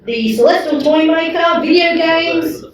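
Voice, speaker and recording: A woman's voice: very high voice, measured pace. A woman chatting casually and enthusiastically as a fan at a live discussion. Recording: very echoey room, distant mic, faint noise.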